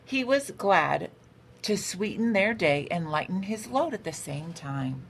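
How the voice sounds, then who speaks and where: A woman reading a picture book aloud for children in an expressive, unhurried storytelling manner. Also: on the low side, vocal fry. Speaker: storytime reader. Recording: noticeable background noise.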